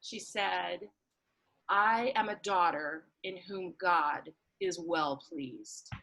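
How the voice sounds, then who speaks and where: A woman's voice: on the low side, slow, vocal fry, bright, forceful tone, low-quality recording. A woman chatting casually about personal things, informal and emphatic, peppering her talk with filler words like "like" and "you know".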